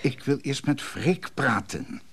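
An actor playing an evasive, indecisive, troubled man in a radio drama, speaking haltingly with a husky voice. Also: medium pitch, animated, exaggerated voice. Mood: uneasy, evasive. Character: evasive, indecisive, troubled man caught between two women. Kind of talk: radio drama acting, dialogue.

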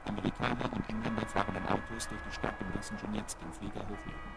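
A man's voice: lowish voice, steady tone, fast talker, creaky. A man narrating a German children's audio drama in a scripted, dramatic documentary style. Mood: urgent, dramatic. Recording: vinyl rip with loud background noise.

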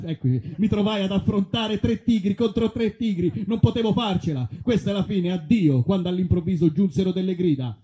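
A man tells a comic detective tale on stage. His voice is very soft-edged and dark, sitting a little high for a man. He delivers absurd wordplay with mock seriousness. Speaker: puppeteer storyteller performing a comic monologue. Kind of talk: theatrical comic storytelling in mock detective narration. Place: live performance at an outdoor festival.

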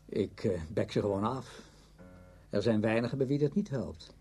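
Husky, cocky orchestra conductor. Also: male voice, lowish voice, normal speed, dark tone, faint music behind. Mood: breezy, smug. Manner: cocky, blunt, offhand. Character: brash, self-satisfied male orchestra conductor, worldly and blunt. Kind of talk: Dutch radio drama acting.

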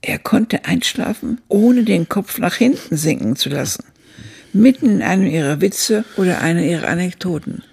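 Old woman narrator for radio drama: husky, crackling with fry, thoughtful, recalling her youth. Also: on the low side, lively intonation, a little mellow, heavily acted. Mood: reflective, sober. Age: older. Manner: measured, reflective storytelling.

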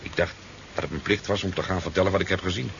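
A man's voice: sits low, fairly even intonation, fast, creaky. An actor playing a talkative, easygoing sport fisherman in a 1970s radio drama, telling his tale in a hesitant, rambling way. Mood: earnest. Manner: hesitant, rambling storytelling with stammers. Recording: dull, noisy 1970s radio recording.

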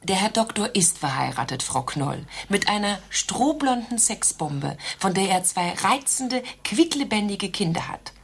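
A prim doctor's receptionist in a satirical radio comedy. Her mid-pitched voice has a husky edge. She lectures with devoted self-importance. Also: very animated, a little slow, few pauses, full character voice. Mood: indignant.

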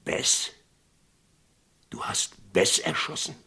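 An actor playing a folksy, blustering Western sheriff in a vintage radio play, with a gravelly, husky voice. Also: male voice, takes it slowly, sharp tone. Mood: stunned, uneasy. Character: boisterous, jovial small-town Western sheriff, probing and incredulous.

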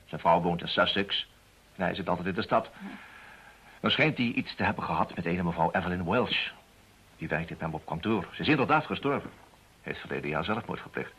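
An actor playing a methodical private detective in a radio play, measured and businesslike with a wry edge. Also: male voice, low-pitched, animated, a bit bright, slight background noise. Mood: thoughtful. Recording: dull lo-fi old radio sound.

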